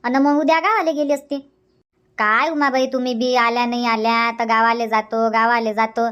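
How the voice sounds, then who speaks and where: Cartoon village aunt, acted by a woman: high voice, Varhadi Marathi accent, bossy and hospitable, nags by repeating herself. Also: flat delivery, moderate pace, a bit bright, clear, resonant tone, natural voice. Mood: coaxing. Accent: Varhadi Marathi from Vidarbha. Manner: insistent, repetitive, scolding. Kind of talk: Marathi cartoon comedy dialogue.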